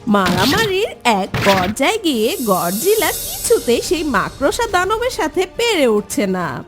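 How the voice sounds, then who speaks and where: A woman's voice: high voice, wildly swooping pitch, unhurried, over music. A woman narrating a scripted children's cartoon story.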